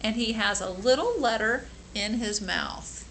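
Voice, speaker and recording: Female storybook reader, bright voice, slow and expressive, sympathetic asides, slight background noise. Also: on the high side, poor audio. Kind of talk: expressive children's read-aloud with informal asides.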